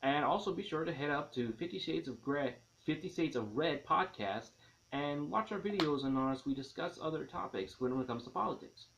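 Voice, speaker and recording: A man's voice: fairly high pitch, lively intonation, normal speed, fairly bright tone, slight background noise, poor audio. A man giving a casual, enthusiastic video movie review, rambling as he repeats and rephrases his points.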